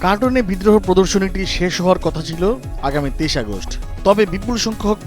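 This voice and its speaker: A man's voice: high-pitched, animated, fast talker. A man with a Bangladeshi accent narrating a news report on location as a reporter. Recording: noisy crowd and music behind. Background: crowd noise and music.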